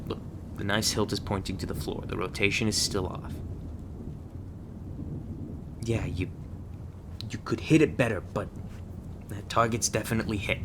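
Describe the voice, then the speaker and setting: A man playing a gruff, guarded agent who trains a rookie in a terse, stern manner in an ASMR roleplay. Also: fairly low pitch, noisy background, close to an everyday voice. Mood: dry, teasing. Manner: terse, stern instructing, stammers when flustered.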